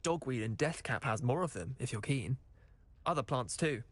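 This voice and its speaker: An actor playing a cheeky, eager teenage wizard schoolboy with a British accent and chatty, cocky manner in a video game. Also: male voice, mid-range voice, expressive, moderate pace, slightly rough, dry recording. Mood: cheeky, keen. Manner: chatty, boyish, colloquial, cocky. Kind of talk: video game character acting.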